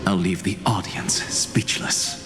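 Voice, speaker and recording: An actor playing a flamboyant, smug genius alchemist in a video game, theatrical and self-assured, savouring each word. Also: male voice, low-pitched, flat delivery, slow. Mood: exultant, theatrical. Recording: echoing hall reverb under music.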